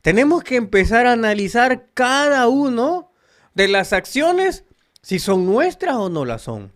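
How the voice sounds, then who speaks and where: A man's voice: very high voice, big pitch swings, unhurried, a bit bright. A man from El Salvador with a Salvadoran Spanish accent, giving an earnest, preachy spiritual monologue straight to his viewers. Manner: earnest, preachy, addresses listeners directly. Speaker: spiritual channel host.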